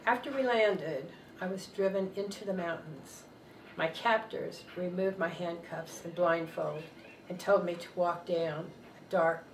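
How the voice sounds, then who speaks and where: An older woman, a retired nurse, reading a first-person testimony aloud in a measured, earnest way. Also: lowish voice. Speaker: reader of a testimony. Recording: roomy sound, distant mic, faint noise.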